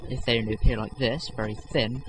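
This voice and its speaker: A man's voice: medium pitch, fairly even intonation, fairly quick. Young guy rambling thru an editing tutorial, um uh a lot, faint hiss behind. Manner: rambling, many fillers and self-corrections; self-deprecating, casual. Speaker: video tutorial host. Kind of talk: unscripted screen-recording tutorial.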